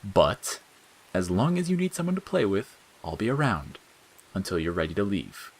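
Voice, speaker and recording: A man plays a gentle young boy in an audio story. The delivery is earnest, patient and a little hesitant, with a plain and forgiving tone. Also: on the low side, sing-song, normal speed, breathy edge, full character voice, rough recording. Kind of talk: dramatic audiobook character acting.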